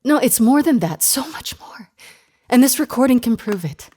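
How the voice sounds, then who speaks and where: A woman's voice: on the high side, animated, mellow, slightly rough. A woman playing an anxious, earnest grief counselor in an audio drama, speaking in a hesitant, insistent way. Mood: anxious, urgent. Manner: hesitant, halting, earnest pleading.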